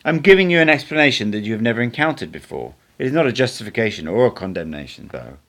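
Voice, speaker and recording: A man's voice: medium pitch, big pitch swings, fast talker, a bit bright. A man reading the part of an assured male teacher, speaking in a didactic, emphatic way in an audiobook. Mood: instructive, certain. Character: Don Juan, assured male teacher, instructing and correcting.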